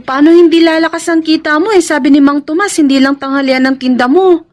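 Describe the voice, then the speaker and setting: Radio drama casting: actress as caring, nosy Filipino friend, high-pitched, chatty, dry close studio sound. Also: female voice, fairly even intonation, a little fast, darkish tone, dry recording, lightly acted. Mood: teasing, curious.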